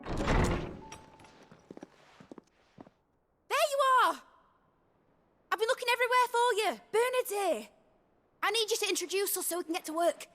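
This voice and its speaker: An actress playing an eager, chirpy young craftworker in a fantasy game, bubbly and emphatic. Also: female voice, very high voice, lively intonation, fairly quick, sharp tone, exaggerated voice. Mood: impatient, excited. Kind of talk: video game drama acting.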